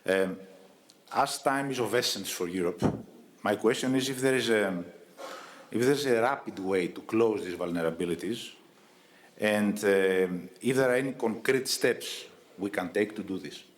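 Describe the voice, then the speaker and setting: A man's voice: fairly low pitch, moderate pace. A man moderating a conference panel, framing a question to a speaker with frequent ums and hesitations.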